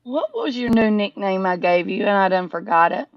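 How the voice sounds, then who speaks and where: A woman's voice: medium pitch, expressive, moderate pace. Casual woman on dull call audio. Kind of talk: casual livestream banter.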